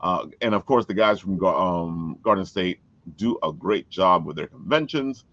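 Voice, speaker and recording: A man's voice: deep voice, moderate pace, fairly bright tone, no echo, dull audio. A man hosting a podcast, chatting casually and enthusiastically as he plugs things to his audience. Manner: enthusiastic, plugging. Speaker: podcast host. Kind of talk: casual promotional chat.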